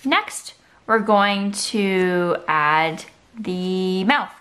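A woman's voice: medium pitch, lively intonation, drawn-out words, fairly bright tone. A woman art teacher giving a step-by-step drawing tutorial for children, in simple, encouraging instructional phrases.

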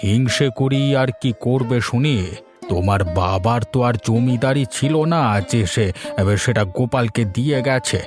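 A man's voice: mid-range voice, moderate pace, over music, lightly acted. Smug, crafty village husband, acted by a man. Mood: persuasive. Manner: smug, wheedling. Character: crafty, freeloading, self-satisfied village husband. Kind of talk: Bengali fairy-tale cartoon voice acting.

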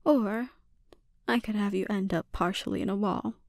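A woman playing a menacing, seductive sorceress and obsessive mother in a breathy, often whispered ASMR roleplay, speaking deliberately and with quiet threat. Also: medium pitch, no room sound, studio quality, close to an everyday voice.

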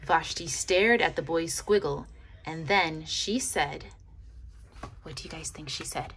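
A woman reading a children's picture book aloud expressively, with dramatic pauses, as if to young listeners. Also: deep voice, fairly bright tone, a little hiss or hum.

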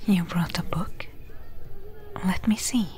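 A seasoned female adventurer, played by a woman, whispers practical advice in a fantasy ASMR roleplay. Her voice is breathy and a little low. She takes her time over each phrase, gentle and caring, like someone readying a companion for the desert. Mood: gentle, advising. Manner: gentle, unhurried, advising.

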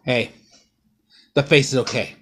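A man's voice: medium pitch, fairly even intonation, a little slow, a little hiss or hum. A man in his thirties talks straight into a close camera in his living room. He is bruised and sore, and he rambles through a casual show-and-tell haul in an everyday middle-range voice, with lots of ums and uhs. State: bruised and sore after a fall. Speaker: vlogger. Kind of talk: casual haul and unboxing vlog. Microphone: camera close up at low angle.

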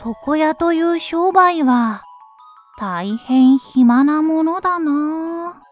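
Woman voicing a very high-pitched little crab barber. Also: faint music behind. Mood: idle, pensive. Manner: sing-song, polite, drawn-out calls. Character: small eager crab barber, politely peddling his trade. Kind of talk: children's storybook reading.

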